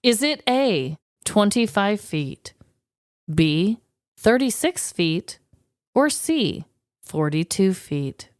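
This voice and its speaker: A woman's voice: mid-range voice, big pitch swings, takes it slowly, no room sound, studio quality. An American woman co-hosting a podcast, chatting casually and informally as she shares trivia. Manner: chatty, informal, quizzing her co-host. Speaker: podcast co-host.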